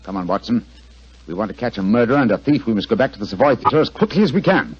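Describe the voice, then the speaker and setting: An actor playing a brisk, courteous English detective with a clipped, urbane, authoritative delivery in 1940s radio mystery style. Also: male voice, medium pitch, sing-song, lightly acted. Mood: urgent. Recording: dull, lo-fi vintage radio recording.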